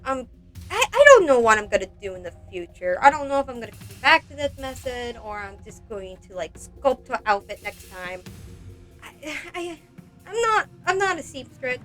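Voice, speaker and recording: A bright-sounding woman chats through a craft project in a rambling, self-deprecating way. Her voice sits fairly high and she breaks into exclamations, with light music behind her. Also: expressive, normal speed. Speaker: doll customization video creator. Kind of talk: casual craft vlog narration.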